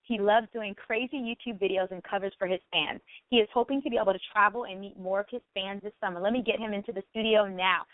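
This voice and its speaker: A woman's voice: medium pitch, steady tone, fast, hardly any pauses, dry recording. A woman hosting and interviewing on an online radio talk show. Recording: thin phone-like line, dry.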